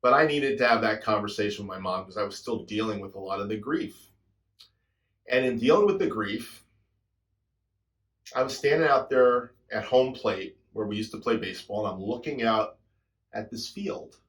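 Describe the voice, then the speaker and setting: A man's voice: fairly low pitch, moderate pace. Reflective man in his sixties. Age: 60s. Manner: introspective storytelling, soft trailing endings. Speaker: drummer and channel host. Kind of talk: reflective personal talk to camera.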